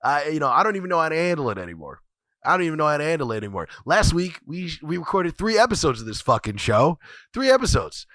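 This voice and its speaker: A man's voice: on the high side, very animated, fast, a bit bright, no echo, studio quality. A man hosting his own podcast, talking casually with lots of swearing and repetition, his voice congested and scratchy from a cold. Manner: rambling, repeats phrases, swears freely. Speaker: podcast host. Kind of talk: casual profane solo commentary.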